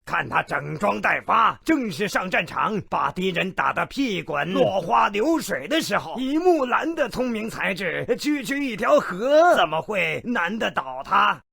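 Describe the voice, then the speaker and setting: An actor playing a sneering, bloodthirsty, cynical gnomish storyteller in a video game, gravelly and raspy, with theatrical sarcastic delivery. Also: male voice, high voice, sing-song, measured pace, few pauses, sharp tone, no room sound, full character voice. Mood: mocking storytelling. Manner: theatrical, sarcastic, gleefully morbid. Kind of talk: theatrical fairy tale narration.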